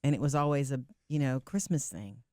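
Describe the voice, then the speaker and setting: A woman co-hosting a podcast, chatting casually, laughing easily and reading listener letters aloud in a warm, reflective way. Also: very deep voice, fairly quick, no room sound, studio quality. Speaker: podcast co-host.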